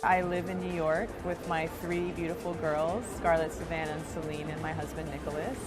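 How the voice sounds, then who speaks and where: A woman's voice: low-pitched, level tone, low-quality recording. Earnest woman over a music bed. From United States. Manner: earnest, thoughtful, speaks in short phrases with pauses. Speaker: interviewee. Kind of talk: reflective personal account.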